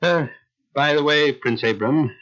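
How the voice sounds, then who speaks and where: A man's voice: on the high side, measured pace, fairly bright tone. An actor playing an imperious, easily flustered Egyptian king in a Bible audio drama, theatrical and given to stammering. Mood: wary, stern. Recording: dull lo-fi radio drama audio.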